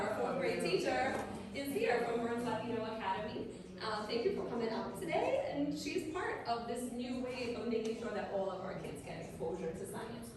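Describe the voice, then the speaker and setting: A woman, a district STEM director giving a chatty conference presentation and asking the audience questions. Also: fairly high pitch. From Trinidad and Tobago. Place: conference hall. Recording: echoey hall, mic far, crowd behind.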